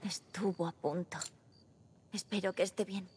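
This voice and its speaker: A woman's voice: fairly low pitch, steady tone, moderate pace, a little mellow, slight background noise, natural voice. A woman voicing a plucky, sarcastic teenage girl survivor in a video game, offhand, with a Castilian Spanish accent. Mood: subdued. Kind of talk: dramatic video game voice acting.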